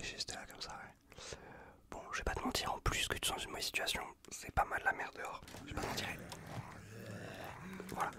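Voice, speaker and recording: A man with a French accent from France whispers a casual, reassuring ASMR roleplay as a caring survivor tending a wounded stranger, breathy and close to the microphone. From Bordeaux region, France. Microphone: close Blue Yeti microphone.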